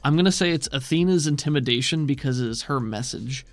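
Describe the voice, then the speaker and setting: Easygoing male game master with a creaky tone and a dead-dry close mic. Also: medium pitch, fast talker, no echo, faint music behind. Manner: easygoing, explanatory, reads rules aloud. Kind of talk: narrating and explaining a tabletop game.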